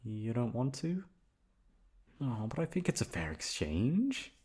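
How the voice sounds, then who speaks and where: A man's voice: medium pitch, sing-song, unhurried, darkish tone, breathy edge. A man acting as a teasing, affectionate boyfriend in an intimate ASMR roleplay, coaxing and pleading playfully. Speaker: voice actor performing an audio roleplay.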